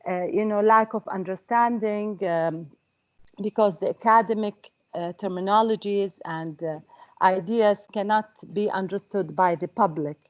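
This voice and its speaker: A woman from Kuwait politely commenting in a webinar, hesitating often with ums and restarts. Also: medium pitch. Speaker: participant asking question. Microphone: video call. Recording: dull lo-fi call audio.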